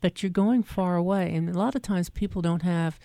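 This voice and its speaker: A woman host with a South Jersey accent talks in a chatty, conversational way. Her voice is low and mellow. The sound is dry and close. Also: a little fast, dry recording. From South Jersey, near Philadelphia. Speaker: show host. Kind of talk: casual interview chat.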